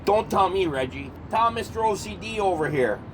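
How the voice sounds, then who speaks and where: A man's voice: sits high, animated, normal speed, background noise. A man playing an exasperated, sarcastic monster hunter who talks in exclamations, in a comedy horror audio drama.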